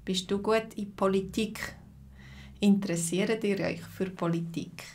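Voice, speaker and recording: A woman with a Swiss accent hosts a live online lesson at an unhurried, deliberate pace. She talks to her viewers one by one and often pauses on fillers. Her voice sits at an ordinary middle pitch for a woman. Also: steady tone, darkish tone, slight background noise. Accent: Swiss German. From Switzerland. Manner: slow, clear speech with many fillers, greeting viewers by name. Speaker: Swiss German teacher hosting a livestream.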